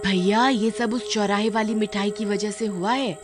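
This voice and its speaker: A woman's voice: medium pitch, fairly even intonation, normal speed, music in the background, natural voice. A woman voicing a frightened but resourceful village wife in a horror-comedy story, urgent and explanatory. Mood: earnest.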